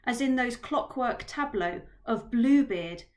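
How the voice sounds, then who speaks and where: A woman's voice: fairly high pitch, expressive, unhurried. A middle-aged woman giving a dramatic reading of literary prose. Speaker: reader of a literary excerpt. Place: living room.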